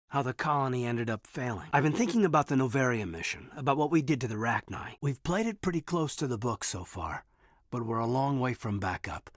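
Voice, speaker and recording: A reserved, dutiful military man in a video game. His voice is husky and sits at a middling pitch, moving up and down expressively as he talks in a sincere, measured way. The recording is close and dry. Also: no room sound. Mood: earnest, cautioning. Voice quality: slightly husky. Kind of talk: naturalistic video game character dialogue.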